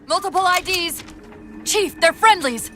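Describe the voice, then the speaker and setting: A woman playing a quick-witted, emotional AI companion in a video game cutscene. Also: very high-pitched, bright, forceful tone, soft background music, heavily acted. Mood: urgent.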